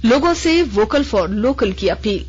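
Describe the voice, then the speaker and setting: A woman's voice: fairly high pitch, breathy edge. Formal female radio newsreader, lo-fi. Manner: measured, even formal delivery. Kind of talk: formal news bulletin reading. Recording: lo-fi radio broadcast.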